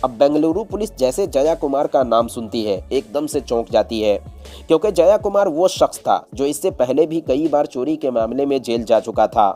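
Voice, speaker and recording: A man's voice: medium pitch, expressive, normal speed, warm tone, faint music behind. A man telling a true-crime story as a scripted narrator, in a dramatic, explanatory storyteller's manner.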